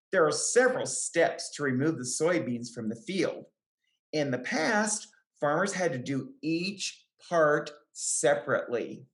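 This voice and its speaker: In a roomy, echoing space, a woman reads a children's picture book aloud in a low voice. Her delivery is careful and deliberate, with lively rises and falls in pitch that suit young listeners. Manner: careful, deliberate phrasing with short explanatory asides to children. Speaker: children's book reader, extension educator.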